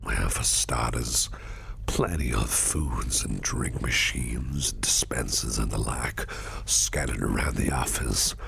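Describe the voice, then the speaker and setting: Gravelly, breathy, predatory crime boss. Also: male voice, rumbling low, fairly bright tone, slight background noise, exaggerated voice. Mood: flirtatious, smooth. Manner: slow, silky, menacing, teasing. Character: sinister, flirtatious, teasing crime boss with a predatory appetite. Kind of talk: intimate ASMR roleplay, close and breathy, often half-whispered.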